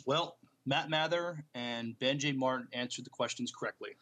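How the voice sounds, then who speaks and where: Man, medium pitch, podcast co-host, casual chat, plain, halting, understated. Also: fairly bright tone.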